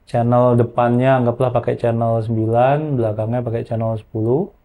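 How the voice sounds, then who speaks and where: A man explaining software in an informal, hands-on tutorial, using colloquial tags as he goes. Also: medium pitch, level tone, moderate pace. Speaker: audio software trainer.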